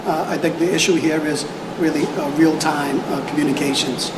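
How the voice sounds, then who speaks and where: A man's voice: on the high side, fairly even intonation, moderate pace. A man answering press questions as a police technology official, hesitant and repeating words as he searches for phrasing. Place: indoor press conference room. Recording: echoey room with clear background noise.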